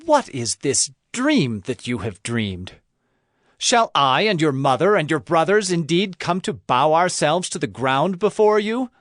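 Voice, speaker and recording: An actor playing an elderly, deeply emotional patriarch father in a dramatised Bible reading, slow and emphatic. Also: big pitch swings, no room sound, studio quality. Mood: stern rebuke. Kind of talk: Bible audio drama acting.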